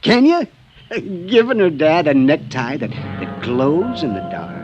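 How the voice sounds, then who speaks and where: A man's voice: fairly high pitch, sing-song, measured pace, highs cut off, loud music behind the voice. An American man playing a chatty, amused small-town fellow in a 1950s radio drama. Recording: faintly noisy vintage radio recording.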